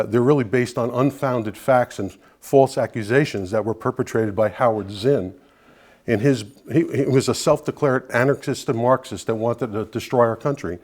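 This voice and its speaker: An older man speaks to a meeting in an informal, conversational way. His is Sicilian, and his voice sits at a middle pitch as he talks earnestly in an anecdotal style. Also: a little mellow. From Brooklyn, New York, USA. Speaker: guest speaker, Italian-American advocate. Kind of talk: informal public talk to a meeting.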